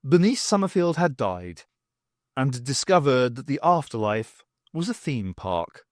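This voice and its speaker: A man reading a story aloud as an audiobook narrator, in an expressive scripted style. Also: medium pitch, measured pace, no room sound, clean studio sound.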